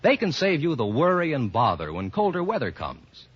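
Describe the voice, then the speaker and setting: A man's voice: a little high, wildly swooping pitch, normal speed, breathy edge. An American man announcing and reading sponsor messages in polished, salesmanlike 1940s radio style. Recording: muffled vintage radio broadcast recording.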